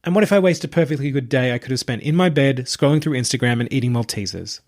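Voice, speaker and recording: A man's voice: medium pitch, quick pace, darkish tone, natural voice. A man voicing a sardonic, reluctant young woman at university, dry and blunt, in an audiobook. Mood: grudging, dry. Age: young adult. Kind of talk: audiobook character voice.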